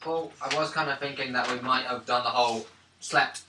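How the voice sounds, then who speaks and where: A man's voice: medium pitch, fairly even intonation. A man playing a tabletop game, chatting and joking playfully with his friends. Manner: playful, quick to laugh. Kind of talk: casual, joking chat. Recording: echoey room, distant mic, clear noise.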